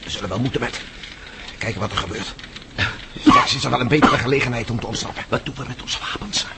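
An actor playing a stubborn, wry adventurer in trouble in a radio drama. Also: male voice, medium pitch, fast talker, vocal fry, lightly acted. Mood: tense, resigned. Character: defiant, gritty adventurer hero held by a gang. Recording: very noisy old radio drama recording.

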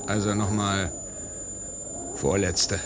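An actor playing a young pilot in peril in an old radio drama, with halting, repeated exclamations. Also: male voice, on the low side, little pitch movement. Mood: subdued. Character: young pilot in peril, euphoric then desperate. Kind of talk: 1950s German radio play acting. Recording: noisy vintage radio, engine drone.

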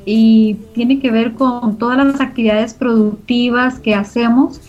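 A woman's voice: on the high side, fairly even intonation, measured pace, darkish tone, faint music behind. A woman explaining things as a guest in a radio interview. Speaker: communications center representative, interview guest. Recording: dull, lo-fi radio audio.

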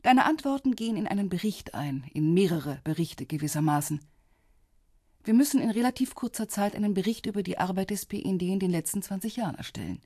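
An actress playing a composed, businesslike intelligence officer in a radio drama, speaking in a measured, matter-of-fact, discreet way with a husky voice. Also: female voice, medium pitch, expressive, mellow, no echo, clean studio sound.

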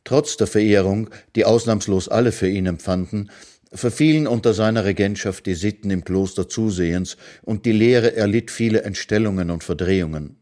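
A man reading his own philosophical short prose aloud in a calm, measured way, as a radio literary reading. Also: lowish voice.

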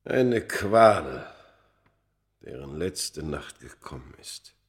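Cursed undying egyptian man, deep slow voice, solemn radio play delivery, swings up and down. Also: big pitch swings, exaggerated voice. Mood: tormented, fervent. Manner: theatrical, solemn, weighty delivery. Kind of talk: German radio drama acting.

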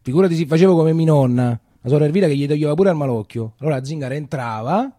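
An actor playing a weary, chatty Roman coffee-shop keeper, rambling and repeating himself in a colloquial theatrical monologue with a Roman accent. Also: male voice, fairly high pitch, lively intonation, very fast, mellow, dry recording, clean studio sound. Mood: chatty, grumbling. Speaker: stage actor.

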